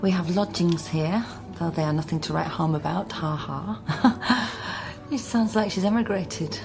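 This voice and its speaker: An actress playing a wry, solitary British genealogist in a naturalistic radio drama, with a dry, matter-of-fact way of talking. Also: female voice, on the low side, unhurried, vocal fry. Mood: thoughtful. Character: wry, solitary female genealogist, brisk and self-mocking. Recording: music under studio radio drama.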